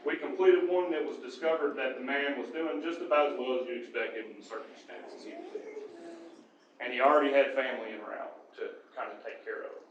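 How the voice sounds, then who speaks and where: A police sergeant speaks at a city council podium, reading out a prepared commendation. His voice sits at an ordinary middle pitch, steady and earnest. A faint hum from the chamber runs under the meeting recording. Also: brisk, muffled recording. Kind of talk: prepared speech.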